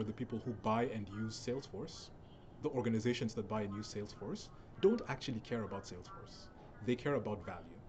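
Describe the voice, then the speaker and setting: A young man from Kenya gives a recorded talk to an event audience, explaining things and repeating phrases for emphasis. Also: lowish voice. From Kenya (Nairobi). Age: young adult. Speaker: speaker, software professional. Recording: steady background noise.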